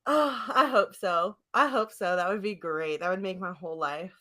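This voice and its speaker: A woman's voice: mid-range voice, moderate pace, sharp tone. A woman co-hosting a podcast in casual chat, effusive and giggly in her delivery. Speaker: podcast co-host. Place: remote internet call.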